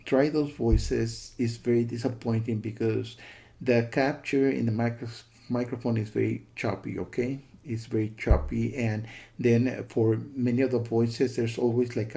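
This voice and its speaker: A man giving a casual spoken software review in a disgruntled, emphatic way, often repeating himself and checking in with the listener. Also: medium pitch, gravelly.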